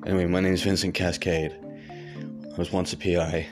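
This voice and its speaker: An actor playing a frightened fugitive private eye who stammers and talks in hushed tones. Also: male voice, deep voice, level tone, moderate pace, over music, close to an everyday voice. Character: scared fugitive male detective, paranoid.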